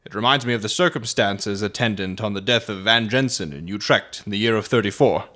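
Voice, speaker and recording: A man playing a confident, sardonic Victorian detective in a dramatic audiobook, speaking with crisp authority. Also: fairly low pitch, a little fast, bright, forceful tone. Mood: instructive.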